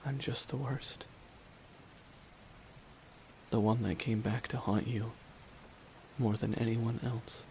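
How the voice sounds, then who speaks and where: A man playing a bitter, weary supervillain in a dramatic ASMR audio roleplay, speaking deliberately with long pauses to a dying nemesis. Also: mid-range voice. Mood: wounded, bitter. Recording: steady rain noise behind the voice. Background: rain falling on surfaces.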